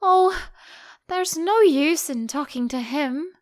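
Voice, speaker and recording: A woman's voice: high-pitched, expressive, slow pace, voice pushed up. A woman voicing a curious, timid young storybook girl who is earnest, plaintive and easily exasperated.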